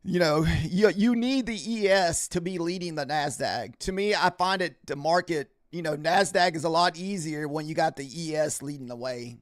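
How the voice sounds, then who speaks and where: A man's voice: a little high, normal speed, hardly any pauses, dry recording. A man hosting a live trading stream, chatting casually with viewers in a rambling way full of fillers and restarts, his voice a bit scratchy from a week of talking. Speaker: livestream trading host.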